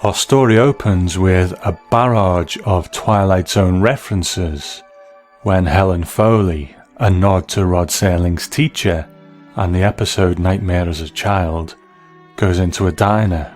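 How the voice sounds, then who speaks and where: A man's voice: sits low, unhurried, a little mellow, soft background music. A man narrating a scripted video essay as a reviewer of film and television.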